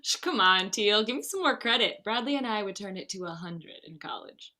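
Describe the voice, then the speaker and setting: Playful young bride, very animated, played by a woman. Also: mid-range voice, brisk. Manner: teasing, breezy. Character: playful, upbeat, party-loving young bride. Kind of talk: casual screenplay table read.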